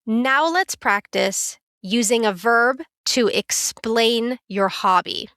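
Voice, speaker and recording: A woman teaching a beginner English lesson in a careful, clear, scripted way. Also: on the high side, takes it slowly, fairly bright tone, no room sound, studio quality. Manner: clear, careful, instructive delivery.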